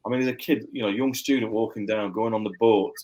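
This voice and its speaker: A man's voice: lowish voice, fairly even intonation, fast, darkish tone, poor audio. A man with a mixed northern English accent, chatting as a guest author in a loose, rambling way full of fillers and restarts. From Yorkshire, England. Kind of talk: casual interview chat.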